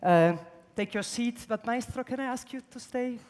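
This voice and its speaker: A woman's voice: a little high, moderate pace, darkish tone. Poised woman presenter in a theatre. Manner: poised, addressing guests on stage. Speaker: ceremony presenter. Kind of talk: formal ceremony presenting. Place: large theatre stage. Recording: long hall reverb from a theatre stage.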